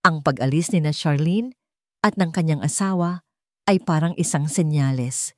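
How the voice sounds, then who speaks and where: A woman narrator reads dramatic fiction in a measured storytelling manner. Her pitch sits a little low, and the recording is dry with hardly any room sound. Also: darkish tone, dry recording.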